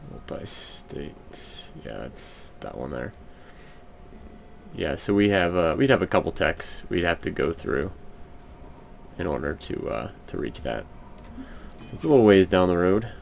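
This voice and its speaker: A man with a raspy voice casually narrating a strategy game at home, thinking aloud hesitantly with many ums. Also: deep voice, lively intonation, unhurried, long pauses, a little hiss or hum. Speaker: let's play gamer. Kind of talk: casual gameplay commentary. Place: home room.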